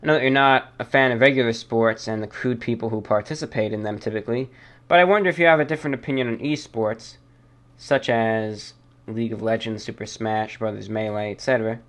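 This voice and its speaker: A man hosting a listener-mail show, reading letters and commenting casually in a halting, deliberating way, often repeating his phrases. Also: mid-range voice, steady tone, a bit bright.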